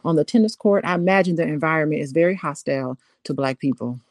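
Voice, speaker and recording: A woman's voice: low voice, fast, a little mellow, dry recording, clean studio sound. A woman co-hosting a podcast, talking casually, teasing and often laughing. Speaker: podcast co-host. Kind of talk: casual conversation.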